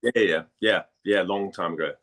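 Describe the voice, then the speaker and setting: A man from Denmark speaking casually as an interviewed actor, halting and repeating phrases as he searches for words. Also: low-pitched, fairly even intonation, a bit bright.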